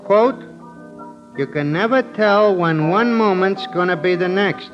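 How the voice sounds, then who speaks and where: An actor playing a folksy, slow-witted, earnest pal in 1940s radio style, with a homespun manner. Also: male voice, high-pitched. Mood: earnest advice. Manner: homespun, mangled sayings. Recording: muffled old radio broadcast, music behind.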